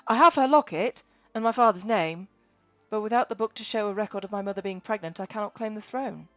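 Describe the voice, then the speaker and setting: A woman's voice: medium pitch, brisk, a bit bright, no room sound, soft background music, lightly acted. Woman doing a stammering scrawny boy. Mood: earnest. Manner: earnest, stammering. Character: scrawny frightened young boy heir.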